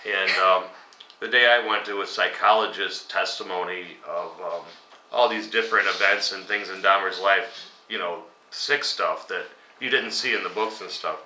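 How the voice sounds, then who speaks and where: Low-voiced man, rambling in an echoey room. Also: moderate pace, pushed and bright, slight background noise. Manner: casual, rambling, many ums, chuckles at grim stories. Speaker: metal band singer, interview guest. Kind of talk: relaxed conversational interview answers. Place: dining room at home, indoors. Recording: echoey room, voice a bit distant.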